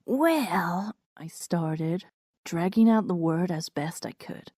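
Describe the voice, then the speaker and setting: A woman's voice: fairly low pitch, a little slow, warm tone, no echo, studio quality. A woman reading scripted first-person story narration in a wry, understated way.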